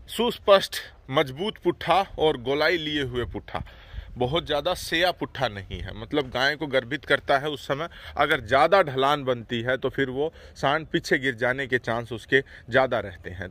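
A man hosting a farm video, explaining the animals outdoors in a steady, explanatory way. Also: medium pitch, dry recording. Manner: steady explanatory, frequent "haan haan" backchannels. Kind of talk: on-site explanatory commentary.

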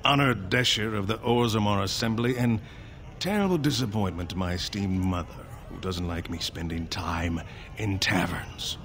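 A man's voice: lowish voice, moderate pace, fairly bright tone, a little hiss or hum. An actor voicing a sardonic, rebellious male dwarf noble in a video game, with a dry, self-mocking delivery. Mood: wry.

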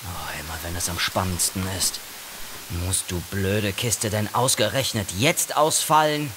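A man's voice: fairly low pitch, unhurried, background noise, close to an everyday voice. An actor playing a jumpy male glaciologist who mutters to himself in an audio drama. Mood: muttering, puzzled. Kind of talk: German audio drama acting.

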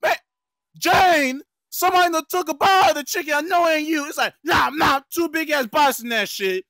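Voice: sits high, moderate pace, sharp tone, no echo, clean studio sound. An amateur performer dubbing a cartoon comically, with slangy exclamations and plenty of cursing. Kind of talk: profane comic cartoon fandub.

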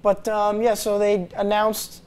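A man's voice: sits high, monotone, measured pace, a little mellow, clear, resonant tone. A young man co-hosting a movie review show, chatting casually with many fillers and restarts, recorded on a lapel mic in a small TV studio. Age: young adult. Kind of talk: casual chat.

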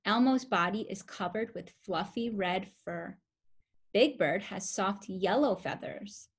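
A young woman reading a picture book aloud to toddlers as a preschool teacher, with simple, expressive phrasing and questions for the listeners. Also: mid-range voice, measured pace, husky. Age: young adult. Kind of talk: children's story read-aloud.

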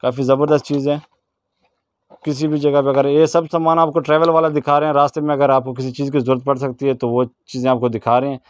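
A man's voice: medium pitch, little pitch movement, fast talker, dry recording. A man with a Pakistani accent casually presenting products in a shop as a vlogger, asking questions, repeating answers and explaining features approvingly. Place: small shop packed with goods, indoors.

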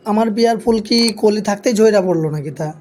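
A man's voice: high voice, fast talker, background noise, natural voice. A man voicing a frustrated young bachelor son in a comic cartoon, whining and plaintive. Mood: whining, hopeful.

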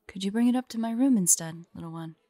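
A woman playing a weary, protective demon hunter in an audio drama, gentle yet resolute, with a measured, solemn delivery. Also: medium pitch, no room sound, close to an everyday voice. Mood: gentle, tired.

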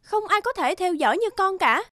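A woman voicing an arrogant, boastful young peasant woman in an animated fairy tale, haughty and scornful. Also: very high voice, a little fast, no room sound, clean studio sound.

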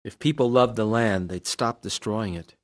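A collected doctor and yogi of sixty, acted by a man in a radio drama. The voice is fairly low and reasons calmly. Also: moderate pace, natural voice. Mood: calm reasoning. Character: calm sixty-year-old male doctor and yogi.

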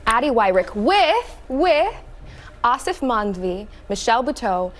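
A young woman from Hawaii, an actress guest on a TV show, talking enthusiastically and quickly. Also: fairly high pitch, lively intonation. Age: young adult. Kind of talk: studio interview. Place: TV studio.

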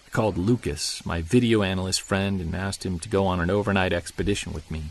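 A middle-aged man playing a brooding, obsessive Bigfoot investigator in an audio drama, speaking in a measured way. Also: deep voice. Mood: weary, grieving. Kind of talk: audio drama monologue and dialogue, confessional recorded journal.

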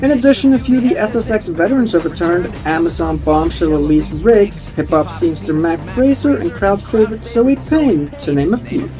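Young man, upbeat mock DJ read on a headset, slightly high voice, faint music behind. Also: animated, moderate pace, hardly any pauses, low-quality recording. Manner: upbeat announcer delivery, playful. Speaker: streamer. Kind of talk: mock radio DJ announcing. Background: faint game music.

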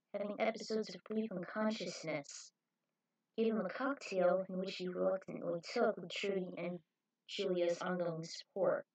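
A woman's voice: medium pitch, darkish tone, slightly rough, highs cut off. A woman narrating her own fan fiction as an amateur author reading aloud.

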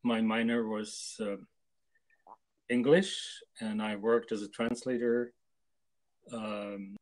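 An older man from Czechoslovakia answering interview questions on a video call, hesitant and full of pauses. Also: fairly low pitch, fairly even intonation. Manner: hesitant, with many pauses and fillers. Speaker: guest translator. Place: home room with photos on wall.

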